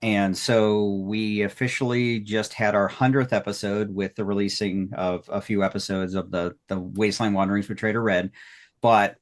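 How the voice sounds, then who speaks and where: A man's voice: fairly low pitch, fairly even intonation, normal speed. A man talking casually and reflectively as a podcast creator looking back, rambling and appreciative of his colleagues. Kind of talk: casual retrospective talk.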